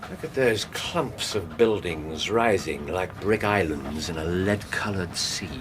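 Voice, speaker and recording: An actor playing a brilliant, eccentric Victorian detective in a radio drama, with an English accent and a theatrical, musing manner. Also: male voice, deep voice, animated, a little slow, background noise. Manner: theatrical, musing, punctuated with hesitations and hums.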